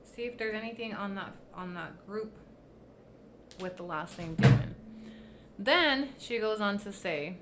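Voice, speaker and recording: A woman's voice: medium pitch, measured pace, pauses often, background noise. A woman with Spanish as her first language, hosting a casual livestream commentary, blunt and sarcastic with frequent swearing. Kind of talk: casual commentary reading posts aloud.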